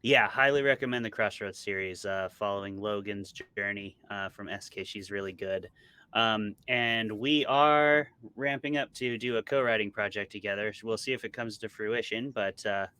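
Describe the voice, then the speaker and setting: A man's voice: on the low side, moderate pace, bright, forceful tone, clean tone, dry recording. A man being interviewed as an author, talking in a casual, explanatory and conversational way. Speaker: interviewed author, podcast co-host.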